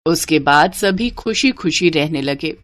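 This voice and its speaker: Expressive woman storyteller, lively pitch. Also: a little slow. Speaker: story narrator. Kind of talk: scripted moral story narration.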